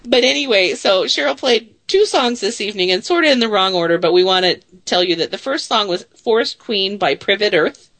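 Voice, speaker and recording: A woman in her late forties hosts a talk show in a casual, chatty way. She pokes fun at herself and laughs easily, with a middle-pitched voice. The studio sound is dry and close. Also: animated, a little fast, few pauses, a bit bright, no room sound. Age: 40s. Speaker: radio show host.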